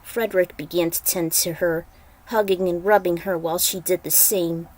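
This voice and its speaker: A woman's voice: on the low side, fairly even intonation, normal speed, darkish tone, low-quality recording. Woman reading a dramatic story. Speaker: narrator.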